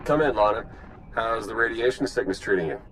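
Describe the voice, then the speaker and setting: A man's voice: fairly low pitch, fairly quick, a bit bright, a little hiss or hum, lightly acted, rough recording. A man playing a wry, sardonic spymaster advisor in a video game, with a dry, casual, teasing manner. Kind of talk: video game cinematic acting.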